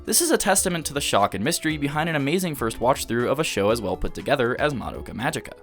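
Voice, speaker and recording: A man's voice: medium pitch, very animated, rapid-fire, a bit bright, faint music behind. A man in his early twenties narrating a scripted video essay as an opinionated, enthusiastic fan. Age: early 20s.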